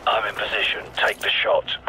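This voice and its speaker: An actor playing a tough, watchful British special forces commander, giving terse, controlled tactical orders in a British accent. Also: male voice, moderate pace, bright, forceful tone, soft background music, rough recording. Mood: focused. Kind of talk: tactical game dialogue.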